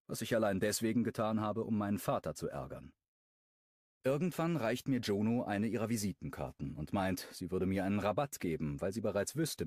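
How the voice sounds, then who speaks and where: A man narrating a first-person romance audiobook in a wry, expressive way, on a close studio microphone. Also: deep voice, no room sound.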